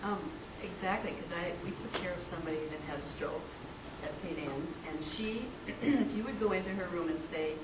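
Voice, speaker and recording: A woman chatting in a relaxed group discussion, telling stories and laughing easily. Her voice comes from a distance in an echoey room. Steady noise runs underneath. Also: lowish voice, normal speed. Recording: echoey room from far off, noisy.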